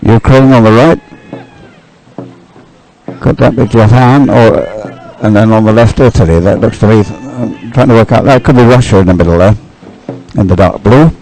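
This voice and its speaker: Male live race commentator, lower register, tentative and thinking out loud, faint music underneath. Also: normal speed, poor audio. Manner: hesitant, thinking aloud. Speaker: sports commentator.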